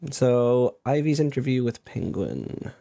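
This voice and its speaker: A man giving casual, self-deprecating commentary as a video creator while drawing, in a low-key way. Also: big pitch swings, unhurried, gravelly, dark tone.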